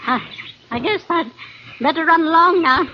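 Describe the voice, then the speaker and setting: Timid, soft-hearted stewardess, high voice, hesitant stammer, old-time radio acting, dull hissy recording. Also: female voice, moderate pace. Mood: flustered, evasive. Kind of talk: 1940s-50s American radio drama acting. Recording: muffled, noisy old radio broadcast.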